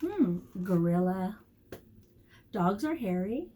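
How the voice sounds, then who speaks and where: Very slow, animated woman teaching young children. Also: medium pitch, a little hiss or hum. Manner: pauses to question and encourage young listeners; deliberate, repeats key words. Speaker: preschool teacher. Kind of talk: storytime read-aloud lesson for young children.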